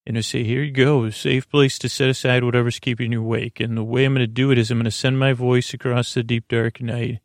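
A man with a creaky voice hosting a bedtime podcast, rambling in a halting, lulling, meandering way. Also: mid-range voice, level tone, no room sound, studio quality. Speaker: podcast host.

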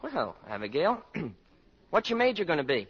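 High-voiced, sardonic college clerk. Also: male voice, huge pitch swings, unhurried, slightly rough, full character voice. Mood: nosy, patronizing. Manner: sardonic, patronizing, theatrical. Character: droll, cynical male college registration clerk. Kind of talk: 1940s radio acting. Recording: dull 1940s radio broadcast.

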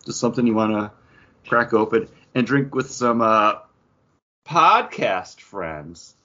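Animated man co-hosting a podcast. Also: on the low side, slow pace, dull audio. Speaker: podcast co-host. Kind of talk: casual podcast chat.

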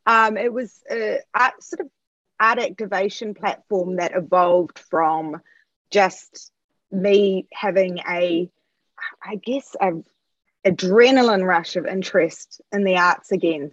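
A woman's voice: lowish voice, slow pace, fairly bright tone, no room sound, lo-fi sound. A woman from New Zealand with a Kiwi accent, chatting as a podcast guest about her art work, with lots of fillers and restarts. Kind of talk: casual interview chat.

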